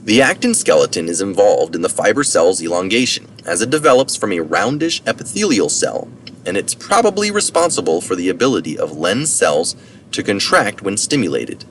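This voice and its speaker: A man's voice: deep voice, sing-song, fairly quick, fairly bright tone. A man reading a science article aloud as narration, with informal asides for citations. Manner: informal asides for citations, occasional self-correction. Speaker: reader of articles. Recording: distant mic, noticeable background noise.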